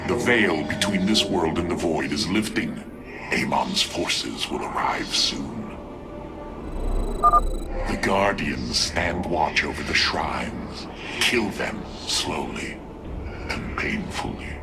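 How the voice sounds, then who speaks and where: A man voicing a scheming, cruel alien warlord for a video game, gravelly, sinister and theatrical, drawing out his words. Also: rumbling low, expressive, full character voice. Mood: menacing. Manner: sinister, theatrical, drawn-out delivery. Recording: loud game music behind.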